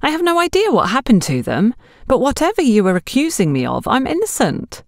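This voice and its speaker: A woman's voice: on the high side, huge pitch swings, brisk, no room sound, studio quality, voice pushed up. A woman voicing an earnest young orphan salesgirl in an audiobook, honest, anxious and defensive. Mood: shocked, defensive.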